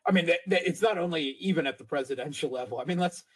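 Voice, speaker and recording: A man's voice: on the high side, a little fast. A man speaking as a guest analyst in informal political commentary, emphatic and often repeating his words.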